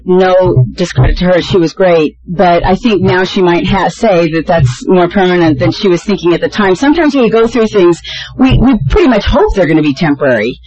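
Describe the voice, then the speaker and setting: A woman's voice: fairly low pitch, a little mellow, highs cut off. A woman panelist answering an audience question in a reassuring, thoughtful, conversational way.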